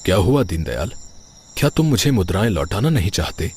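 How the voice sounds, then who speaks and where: A man playing a noble, fair king in a cartoon moral tale, speaking with formal, measured authority. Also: deep voice, sing-song, darkish tone, husky, exaggerated voice. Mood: commanding. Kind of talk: Hindi moral cartoon story acting.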